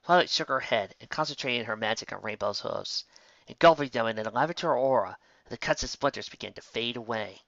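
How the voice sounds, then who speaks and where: A man's voice: mid-range voice, fairly quick, gravelly, fairly bright tone, dry recording, dull audio. A man reading a fan fiction story as narrator, occasionally stumbling over words.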